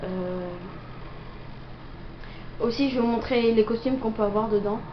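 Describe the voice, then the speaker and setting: A woman's voice: a little high, measured pace. A young woman with a cold improvising gameplay commentary, hesitant and full of fillers. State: has a cold, coughing. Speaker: gameplay video maker. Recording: roomy, dull lo-fi audio with noise.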